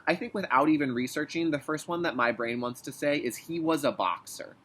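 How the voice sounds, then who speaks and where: A man who works as a kindergarten teacher, leading a read-aloud lesson with deliberate enunciation and an instructional manner for young children. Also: on the high side, a bit bright, a little hiss or hum.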